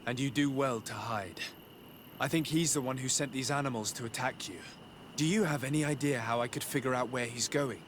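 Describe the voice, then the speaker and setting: A man playing a composed, courteous young noble envoy in a video game, speaking in a polite, measured way. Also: medium pitch, slight background noise, close to an everyday voice. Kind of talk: video game dialogue acting.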